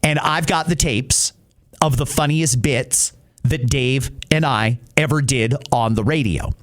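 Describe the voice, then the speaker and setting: A man's voice: mid-range voice, a little slow. A middle-aged man, a radio-trained podcast host talking casually and directly to listeners in short conversational phrases.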